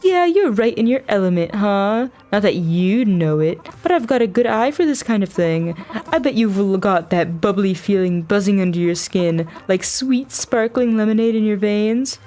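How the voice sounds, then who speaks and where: Woman voicing taunting game villain: medium pitch, smug, mocking, florid and theatrical. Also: lively intonation, moderate pace, mellow, soft background music, natural voice. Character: smug, taunting villain toying with a captive investigator. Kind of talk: visual novel dialogue read aloud.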